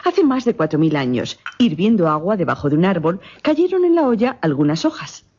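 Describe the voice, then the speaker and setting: An actress playing a confident, witty and curious newspaper reporter in a radio drama, articulate and assured. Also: female voice, fairly low pitch, very animated, brisk, a little mellow, slightly rough. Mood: chatty, informative. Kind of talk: Spanish-language radio drama acting. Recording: muffled, lo-fi radio drama recording.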